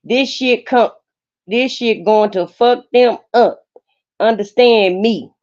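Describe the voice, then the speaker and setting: A woman's voice: a little high, takes it slowly, a little mellow, no echo. A woman co-hosting a livestream, casually performing a reading of text messages, on lo-fi audio. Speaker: livestream co-host. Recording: dull, lo-fi close livestream audio.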